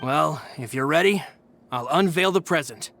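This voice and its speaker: A man acting a loyal, reassuring aide in a video game, with a measured, polite delivery. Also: on the high side, vocal fry, sharp tone, faint music behind. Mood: earnest, formal.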